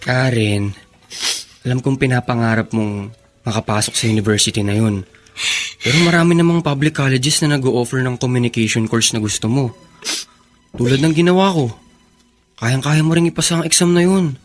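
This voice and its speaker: A man's voice: medium pitch, moderate pace, pauses often, close to an everyday voice. Man as an earnest young elder brother. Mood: reassuring. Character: responsible, protective young eldest son and brother. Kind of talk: earnest radio drama acting.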